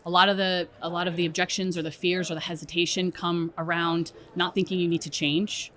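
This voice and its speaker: A woman with a fairly low voice talks as an interview guest. She explains her points conversationally, like an expert walking a colleague through them, and often tags on a checking "right?". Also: little pitch movement, brisk, fairly bright tone. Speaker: interview guest, strategy director at a software company.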